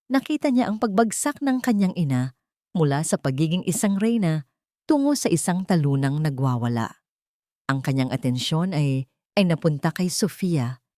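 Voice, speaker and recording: A woman narrates a scripted melodrama. Her voice sits on the low side, and she tells it deliberately, with solemn suspense. The recording is dry, with hardly any room around it. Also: darkish tone, dry recording. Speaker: story narrator. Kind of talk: dramatic scripted story narration.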